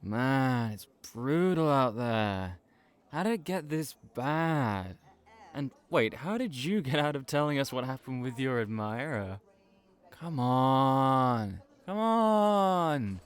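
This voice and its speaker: A man playing a brash, excitable young cafe worker in an audio roleplay, pushy and teasing but loyal, with emphatic, repetitive delivery. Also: medium pitch, takes it slowly, clear, resonant tone. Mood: eager, teasing.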